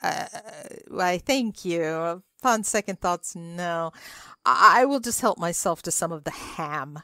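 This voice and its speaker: A woman's voice: on the low side, expressive, takes it slowly, bright, forceful tone, no echo, studio quality, heavily acted. A woman voicing a polite, squeamish male dinner guest who speaks hesitantly in a story. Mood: wary. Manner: hesitant, fussy.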